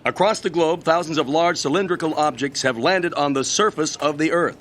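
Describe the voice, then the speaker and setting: An actor playing a grave news anchor reading a scripted bulletin in a radio drama. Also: male voice, on the high side, moderate pace, fairly bright tone, slight background noise, close to an everyday voice.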